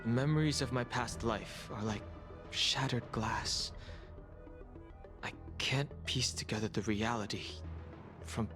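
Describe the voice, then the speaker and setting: A man's voice: mid-range voice, takes it slowly, natural voice. An actor voicing a reserved, guarded young man who speaks tersely. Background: game music.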